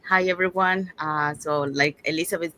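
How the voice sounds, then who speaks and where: Bright-voiced woman, lower pitch. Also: steady tone, moderate pace. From Panama. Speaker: guest, digital business owner. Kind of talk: casual personal storytelling.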